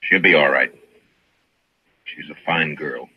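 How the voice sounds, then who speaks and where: An actor playing a stern, weary American frontier marshal in a radio western, speaking tersely and gravely with authority. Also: male voice, extremely low pitch, takes it slowly, no echo, heavily acted. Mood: grave, somber. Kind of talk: 1950s radio western acting. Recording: dull, muffled old radio recording.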